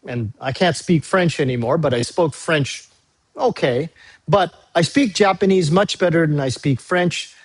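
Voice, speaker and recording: A male university English teacher, a native Tagalog speaker, recording a class briefing video; he talks patiently, repeats key dates and fills pauses with "uh". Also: a little high, slightly rough. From Philippines. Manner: frequent "uh" fillers.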